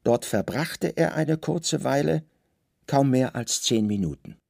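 A man narrating a literary radio play with dramatic, deliberate storytelling in short phrases. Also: medium pitch, animated, vocal fry, darkish tone, no echo, clean studio sound.

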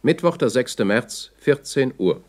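A man's voice: mid-range voice, animated, unhurried. A man making terse, formal scripted announcements as a second narrator in a 1960s radio play. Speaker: announcer of dates and times.

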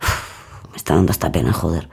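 An actress from an audio fiction, with an accent from Spain, playing a flustered, exasperated but kind-hearted woman, speaking colloquially with halting foreign phrases and muttered asides. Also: extremely low pitch, creaky, breathy edge, full character voice.

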